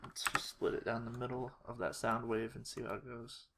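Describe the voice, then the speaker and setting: Halting, creaky-voiced tutorial creator, noisy recording. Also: mid-range voice, flat delivery. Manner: halting, muttering to self while working. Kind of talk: informal screen-recorded editing walkthrough. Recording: noisy home recording, keyboard and clicks. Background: mouse clicks and keyboard typing.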